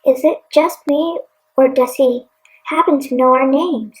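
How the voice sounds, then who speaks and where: Sarcastic female guest acted by a woman: high-pitched, disbelieving, radio play. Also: measured pace. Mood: incredulous.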